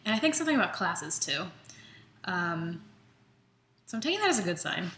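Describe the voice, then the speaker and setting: Bright-voiced young woman chatting in a roomy space. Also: on the low side, fairly quick. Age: young adult. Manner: chatty, candid, animated retelling with quoted self-talk. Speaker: vlogger. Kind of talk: casual chat to camera. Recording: roomy echo, faint background noise.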